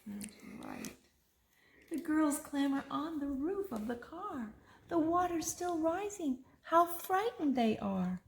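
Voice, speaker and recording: A woman reading a rhyming picture book aloud to children, expressively, pausing for a child to join in. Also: high voice, vocal fry, a little hiss or hum. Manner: expressive, prompting a child to fill in rhymes. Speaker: story reader and co-host.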